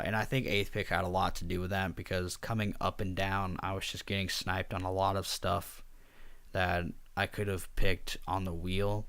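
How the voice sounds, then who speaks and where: Brief: male vlogger, very low voice, hushed and flat, lots of ums, dry close sound. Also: clear, resonant tone, dry recording. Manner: quiet, subdued and somewhat monotone; rambling with frequent fillers. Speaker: draft league coach and video host. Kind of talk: casual spoken commentary over slides.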